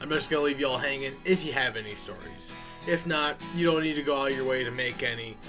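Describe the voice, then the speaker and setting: A man's voice: medium pitch, quick pace, music in the background. A man giving a casual, unscripted update as a channel host, talking informally in a folksy way and addressing viewers as y'all.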